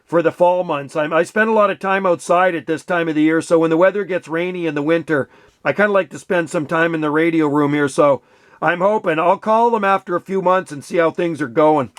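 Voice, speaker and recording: A man's voice: fairly high pitch, fairly quick. A man in his sixties or older having a casual CB radio chat, folksy and full of radio slang. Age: 60s or older. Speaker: radio hobbyist, channel host.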